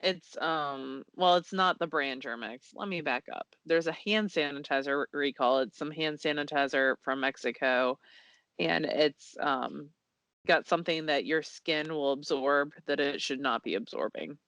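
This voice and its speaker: A woman's voice: low voice, a little slow, fairly bright tone, dry recording. An American woman with a slightly scratchy voice, chatting casually as a podcast co-host. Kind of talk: casual chat.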